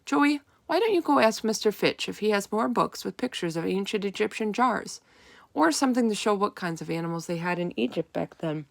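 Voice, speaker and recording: A woman's voice: mid-range voice, expressive, a little fast, vocal fry, no echo. A woman voicing a patient, encouraging schoolteacher in a storybook, with an instructive classroom manner.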